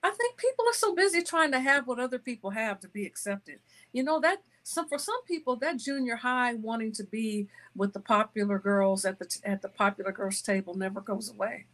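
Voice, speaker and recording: A woman's voice: on the high side, expressive, a little fast, fairly bright tone. Emphatic woman author in conversation. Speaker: author and interview guest. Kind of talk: conversational interview answers.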